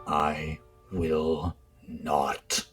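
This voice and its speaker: Male actor as obsessive scientist, deep hushed voice, measured formal phrasing, grim, comic-dub drama, background music. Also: monotone, heavily acted. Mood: cold, brooding. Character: cold, grim, obsessive male royal scientist, weary and menacing.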